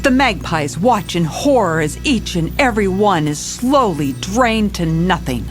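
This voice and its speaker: A woman's voice: lowish voice, lively intonation, measured pace, soft background music. A woman playing a level-headed police commissioner who narrates her case in a scripted audio drama. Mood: narrating, suspenseful.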